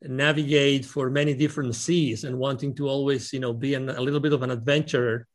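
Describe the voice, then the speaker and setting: A man's voice: medium pitch, little pitch movement, brisk. A middle-aged man from Spain with a Spanish accent, answering interview questions in a hesitant, restarting way. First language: Spanish and Catalan. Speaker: guest.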